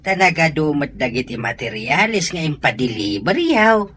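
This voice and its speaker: Animated, hearty old father acted by a woman. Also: deep voice, moderate pace, a little hiss or hum. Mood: jovial, reassuring. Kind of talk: Ilocano radio-style drama acting.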